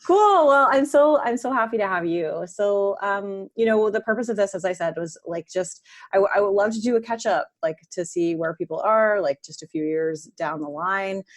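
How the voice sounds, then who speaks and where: A woman's voice: mid-range voice, normal speed, hardly any pauses. A woman hosting a podcast, chatting casually with her guests on a video call and often filling her talk with "like.". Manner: warm-hearted questions, many "like" fillers. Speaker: podcast host. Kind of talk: casual interview conversation.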